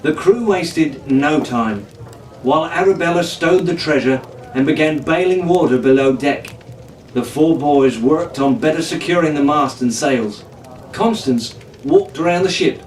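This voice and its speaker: A man narrating an adventure story in a theatrical, pirate-flavoured storyteller's delivery. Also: mid-range voice. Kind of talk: dramatic audiobook narration. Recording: roomy, distant, noisy home recording.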